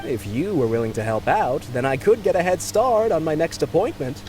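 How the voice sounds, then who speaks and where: A man's voice: fairly high pitch, animated, fairly quick, a little mellow, a little hiss or hum, natural voice, rough recording. An actor playing a polite, harried male office clerk in a video game, courteous and apologetic.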